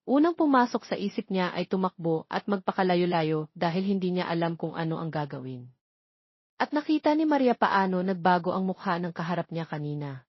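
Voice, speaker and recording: A woman's voice: fairly low pitch, expressive, brisk, slightly rough, dry recording. A woman reading a scripted romance story as an audiobook narrator, in a muffled recording.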